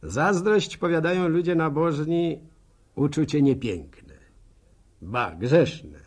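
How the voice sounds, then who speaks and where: Gravelly, slow-talking village postman. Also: medium pitch, darkish tone, full character voice. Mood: confessional, wry. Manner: rambling, colloquial, wry rural storyteller. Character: chatty, self-deprecating, envious middle-aged village postman with a limp. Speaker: narrator of a radio serial. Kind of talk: radio drama monologue, folksy storytelling to listeners.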